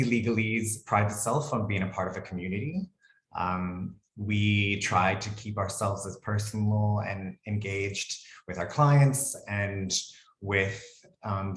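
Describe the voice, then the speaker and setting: A man with an American accent, a lawyer presenting a webinar in a relaxed, conversational way. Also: on the low side, echoey room, poor audio. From United States. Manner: relaxed, conversational, frequent ums and "cool".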